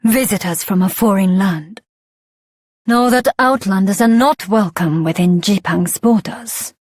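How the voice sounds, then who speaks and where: A haughty, unwelcoming lady from a video game, performed by a woman. She speaks slowly and curtly with imperious scorn, in a dry, close recording. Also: medium pitch, darkish tone, no echo, close to an everyday voice. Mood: scornful. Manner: imperious, curt. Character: haughty, hostile woman rejecting foreign visitors. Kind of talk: dramatic video game voice acting.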